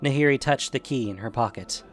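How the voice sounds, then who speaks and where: A man narrating a scripted fantasy story dramatically, with expressive, deliberate phrasing. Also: medium pitch, faint music behind.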